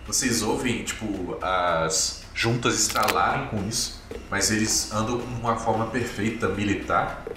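A Brazilian man working as game master, improvising tabletop RPG narration in a halting, descriptive way with frequent tag questions. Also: fairly low pitch. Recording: very echoey room, distant, music behind. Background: music.

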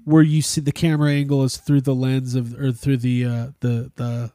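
A man's voice: mid-range voice, level tone, moderate pace, warm tone, no echo, studio quality. A man hosting a casual podcast chat about a film, rambling and jokey, swearing freely. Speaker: podcast host.

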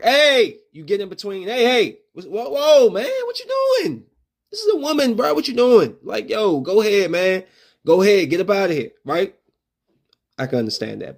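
A man with a high, bright-pitched voice holds forth on his own podcast. His talk is loose and full of slang. He breaks into animated, raised-voice re-enactments of confrontations as he makes his points. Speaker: podcast host. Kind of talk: solo opinion podcast talk.

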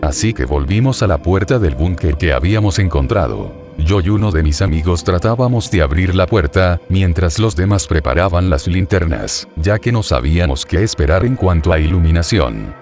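Low-voiced man narrating horror over music. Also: lively intonation, normal speed, few pauses, darkish tone. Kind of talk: scripted first-person horror narration. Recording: music bed under the voice. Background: background music.

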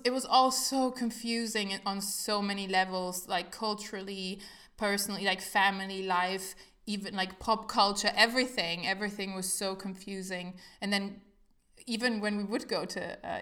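A woman's voice: mid-range voice, fairly bright tone. A woman podcast host who was born in Italy and grew up in Germany, chatting casually in a rambling way with many "um"s and "like"s. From Germany, Italian-born. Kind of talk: casual conversational chat.

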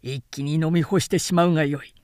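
A woman's voice: low voice, fairly even intonation, fairly quick, a little mellow, no echo, studio quality, pitched below the performer's own voice, close to an everyday voice. A woman voicing a resolute male warlord of ancient China in a storybook reading, with formal, archaic, authoritative speech. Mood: rousing.

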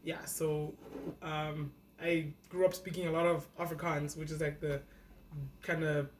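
A young woman from South Africa, a podcast guest, telling a personal story in a casual, animated way with lots of fillers. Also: low voice, unhurried, a little hiss or hum, low-quality recording. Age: young adult. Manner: animated, acts out her younger self.

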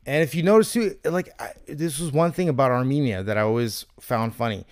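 A man in his thirties talking politics casually, animated and questioning, with some swearing, as a podcast host. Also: mid-range voice, fairly quick, no room sound, studio quality. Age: 30s.

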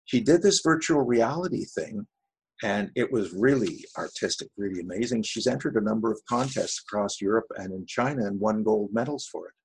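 A man's voice: lowish voice, expressive, fairly quick, gravelly, breathy edge, low-quality recording. A man with an Ottawa Valley Canadian accent, a voice actor chatting as a guest in an interview, telling stories. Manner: chatty, storytelling. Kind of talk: casual interview chat.

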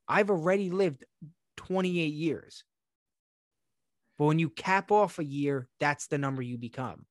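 Higher-pitched young man bantering casually. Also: measured pace, no room sound, studio quality. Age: late 20s. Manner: crude, argumentative, insistent. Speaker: talk show co-host.

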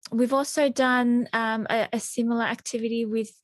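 A woman's voice: on the high side, steady tone, moderate pace, no echo. A woman hosting a workplace podcast talks in a chatty, friendly way, with plenty of fillers and restarts. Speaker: podcast host, team member.

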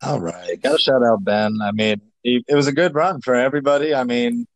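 A man's voice: mid-range voice, a little fast. A man co-hosting a casual sports podcast, playful and jokey, with a hype delivery. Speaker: podcast co-host.

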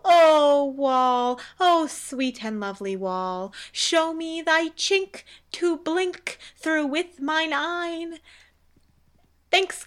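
A woman's voice: high-pitched, a bit bright, exaggerated voice. A woman playing a bombastic amateur tragedian as a lovesick young hero, wailing in overwrought declamation in a Shakespeare radio comedy. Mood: gushing, indignant.

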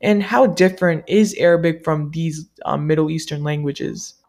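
A woman from the US, a native Malayalam speaker, asking interview questions in a polite, measured, rehearsed way. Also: low-pitched, darkish tone. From United States. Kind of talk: scripted-sounding interview questions.

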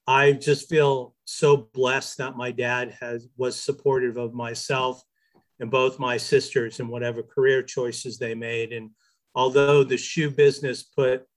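A man from New Hampshire with a medium-pitched voice talks as an interview guest. He tells stories in a casual, anecdotal way, as in relaxed podcast conversation. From New Hampshire, United States. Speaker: podcast guest, business ethics expert.

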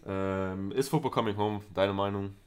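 A man's voice: on the low side, steady tone, moderate pace, clear, resonant tone. A man co-hosting a podcast, chatting casually with a wry, joking manner. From Southern Europe. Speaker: podcast co-host. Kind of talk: casual chat.

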